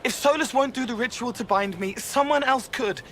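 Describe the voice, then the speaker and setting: An actor playing a young male spirit in human form, talking in halting, broken-up poetic phrases. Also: very high-pitched, fairly bright tone, a little hiss or hum. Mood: anxious.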